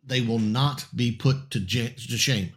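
A man teaching a Bible passage verse by verse on air, slightly hoarse and raspy, with halting restarts and frequent "okay?" checks. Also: mid-range voice. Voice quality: raspy voice in the morning. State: slightly hoarse, clearing throat.

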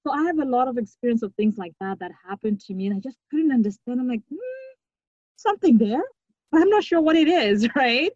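A woman's voice: high voice, sing-song, fairly quick, no echo. Woman from zimbabwe on a podcast, thoughtful and earnest, dry slightly dull audio. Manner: reflective, earnest, with soft laughs. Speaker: podcast guest. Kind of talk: conversational interview.

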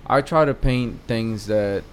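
A man's voice: lowish voice, slow pace, a little hiss or hum. A man in his thirties from Mexico with a Mexican accent, a guest artist talking hesitantly in a casual interview, with many fillers and restarts.

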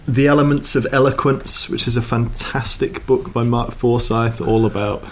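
A man co-hosting a podcast, chatting casually with a calm, soothing voice and laughing often. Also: mid-range voice, normal speed, a little hiss or hum. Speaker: podcast co-host. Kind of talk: casual chat.

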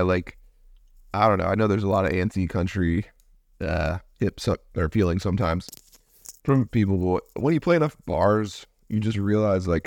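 A man hosting a podcast, a musician with a baritone voice, talking in a relaxed, frank way. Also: dry recording. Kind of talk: casual podcast conversation.

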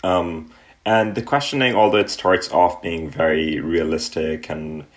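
A young man from Pakistan, an artist being interviewed, who answers thoughtfully with frequent ums and pauses. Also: deep voice, steady tone. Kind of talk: relaxed reflective interview answers.

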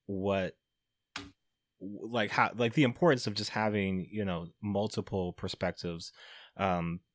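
A man's voice: lowish voice, steady tone, measured pace. A man hosting a podcast in casual conversation, laid-back and loose, with lots of fillers. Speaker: podcast host.

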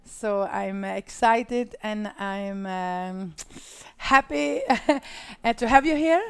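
A woman's voice: medium pitch, creaky, smooth. A woman from Sweden hosting an interview in a studio, speaking hesitantly with many "uh"s and encouraging murmurs. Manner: slow, hesitant, frequent "uh" and "mm-hmm". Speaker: interview host.

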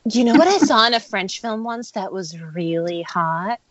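A woman's voice: medium pitch, expressive, moderate pace, natural voice. Woman playing a flirty actress, dull call audio. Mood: seductive, teasing. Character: flirtatious, teasing film actress. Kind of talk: amateur table read of a screenplay. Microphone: remote call from home. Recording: muffled internet call audio.

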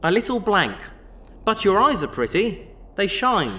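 Sci-fi audio drama: actor as easygoing male treasure hunter, bright and animated, glib delivery, faint noise behind. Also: medium pitch, a little slow. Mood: gentle, resigned. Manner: glib, casual, self-justifying. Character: easygoing, fast-talking, apologetic space treasure hunter, glib schemer.

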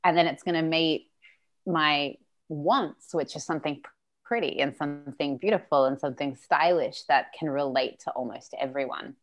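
A young woman with a low-pitched voice tells her story as an interview guest. She is earnest and chatty, breaks into laughter often and drops the odd swear word. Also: moderate pace. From Australia. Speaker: guest, business founder. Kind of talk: casual interview chat.

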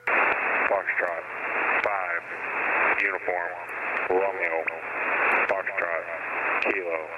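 Man spelling out code word by word, real slow and flat, buried in hiss over a muffled shortwave radio. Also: sits low. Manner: flat, clipped, one word at a time. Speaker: radio operator. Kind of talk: reading out a call-sign code.

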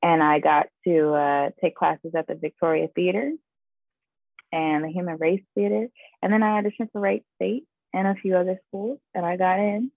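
A young woman, a guest actress, chatting in an interview and telling her story in an animated way, often laughing and saying "like". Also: fairly low pitch, moderate pace, vocal fry, dry recording, phone-quality sound. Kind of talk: casual interview chat.

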